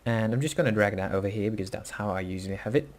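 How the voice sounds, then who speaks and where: A man's voice: on the low side, very fast. A young man with a Dutch accent, speaking English as a second language, walking through a screen-recorded coding tutorial in a casual, hesitant way with many fillers and self-corrections. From Netherlands. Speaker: tutorial host.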